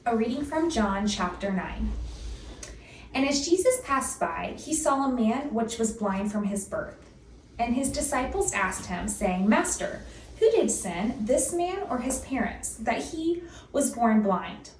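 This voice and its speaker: A woman giving a formal, measured and deliberate scripture reading in old-fashioned wording. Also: fairly high pitch, animated, a little mellow. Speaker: scripture reader. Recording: distant mic, echoey room, faint noise.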